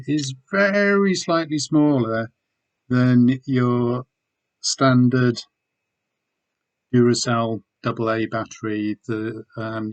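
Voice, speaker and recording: Slightly hoarse middle-aged man, rambling. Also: medium pitch. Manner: rambling, with many ums and restarts. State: slightly hoarse, losing his voice. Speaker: eBay seller reviewing weekly sales. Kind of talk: casual video chat showing items.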